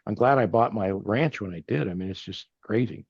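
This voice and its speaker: A man's voice: lowish voice, fairly quick, creaky, breathy edge, dry recording. Earnest older man, dry dull audio. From Texas. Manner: earnest, rambling with many restarts. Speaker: podcast guest, family banking partner. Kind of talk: casual interview conversation.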